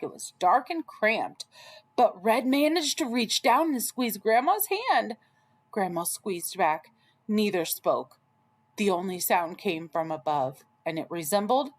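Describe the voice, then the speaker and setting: A woman reading a children's story aloud as an expressive storyteller. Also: medium pitch, slow.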